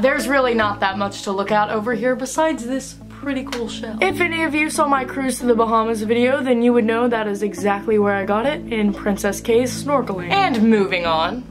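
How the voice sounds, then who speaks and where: A bubbly teenage girl chats her way through a home tour, joking at her own expense. Her voice sits a little high for a young woman. Upbeat music plays clearly underneath her. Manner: bubbly, chatty and self-deprecating. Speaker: vlogger. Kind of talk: house tour vlog. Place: bedroom and rooms of a family home, indoors. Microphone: camera in front, handheld at times. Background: background music.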